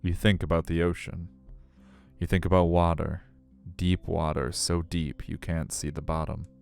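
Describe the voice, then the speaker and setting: A man's voice: sits low, level tone, normal speed, gravelly, a little mellow, clear, resonant tone, faint music behind. A middle-aged man live streaming a visual novel, switching between casual chat and narration. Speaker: game streamer.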